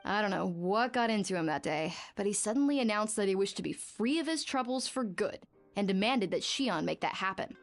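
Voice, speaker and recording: A woman's voice: medium pitch, lively intonation, fairly quick, faint music behind, natural voice. A woman playing a blunt, feisty young bathhouse worker in a video game, brash and impatient. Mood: exasperated.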